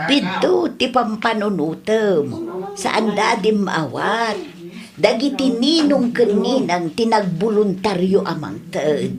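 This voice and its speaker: A woman playing a chatty, good-natured village wife and mother in a comedy radio drama, talking in an unhurried, conversational way. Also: mid-range voice, lively intonation, crowd noise. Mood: chatty, easygoing.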